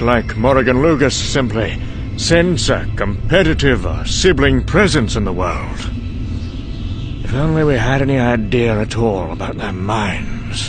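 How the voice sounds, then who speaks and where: A man's voice: mid-range voice, close to an everyday voice. A man playing a cynical scientist, delivering a dry, weary, sardonic dramatic monologue for a motion comic. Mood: musing. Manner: dry, sardonic, weary musing with trailing asides. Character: cynical, sardonic British male scientist briefing an American colleague.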